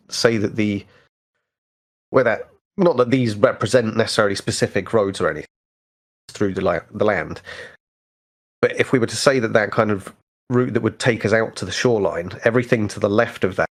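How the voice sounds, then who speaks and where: A man co-hosting a podcast, talking casually and tentatively as he reasons out loud about worldbuilding. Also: fairly low pitch, creaky. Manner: tentative, thinking aloud. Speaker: podcast co-host.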